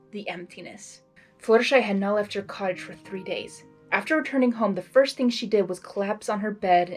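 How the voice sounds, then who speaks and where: A woman reading a story aloud as a host, with an excitable, gushing, expressive delivery. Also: medium pitch. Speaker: fan fiction reader and channel host.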